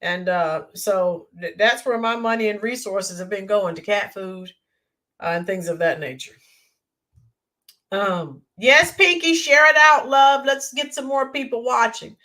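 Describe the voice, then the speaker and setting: A woman hosting a live stream, chatting in a rambling way with viewers and greeting them by name, her voice hoarse and worn. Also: medium pitch, a little slow, pushed and bright. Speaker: YouTube channel host. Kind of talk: live-stream chat sign-off.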